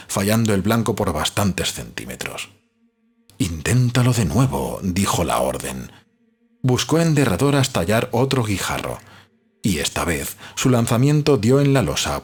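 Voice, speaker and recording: A man reads literary narration in a measured, dramatic storytelling manner. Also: lowish voice, breathy edge. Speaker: audiobook narrator. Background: background music.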